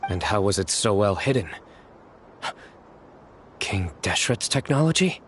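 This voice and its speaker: A terse, low-voiced stern warrior. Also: male voice, lively intonation, unhurried, a little hiss or hum. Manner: curt, dry, quietly threatening. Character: stern, guarded young man hunting a missing friend. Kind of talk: game cutscene voice acting.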